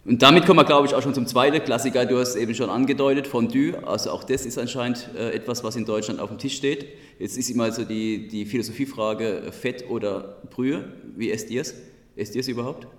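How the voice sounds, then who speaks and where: A man with an Odenwald German accent hosting a casual talk about food and wine, asking lively questions. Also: medium pitch, a little fast. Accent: Odenwald German dialect. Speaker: host. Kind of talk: casual chat. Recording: echoey room with long reverb.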